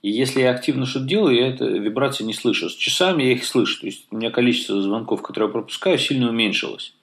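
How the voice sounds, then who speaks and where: A man's voice: medium pitch, rapid-fire, a bit bright. Hesitant Russian-speaking man, tech chat. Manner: hesitant, many fillers and restarts. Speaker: podcast co-host.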